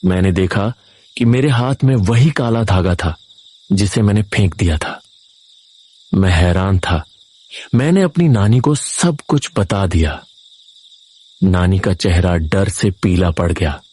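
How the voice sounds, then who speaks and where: Low, husky male horror storyteller. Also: expressive, a little slow, long pauses, a little mellow. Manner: dramatic, suspenseful. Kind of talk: scripted first-person horror narration.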